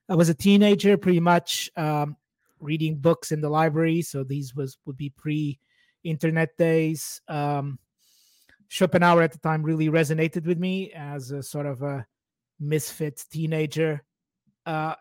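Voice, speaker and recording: A man in his forties from Portugal, with Portuguese as his first language, speaks in a slightly high voice. He talks informally and ramblingly as a podcast guest, close and dry on the mic. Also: a little mellow, no echo. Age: 40s. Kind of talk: casual personal chat.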